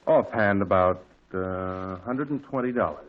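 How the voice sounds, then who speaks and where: An actor playing a kindly, folksy caterer in a 1940s radio comedy, drawling and haggling, on a dull lo-fi old recording. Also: male voice, low-pitched, measured pace. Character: kindly older male shopkeeper and caterer, folksy.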